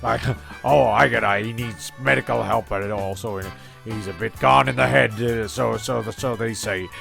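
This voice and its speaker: A male narrator of a comedy radio play, with a medium-pitched voice thick with vocal fry. He rambles hesitantly and repeats himself over faint music. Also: moderate pace, fairly bright tone.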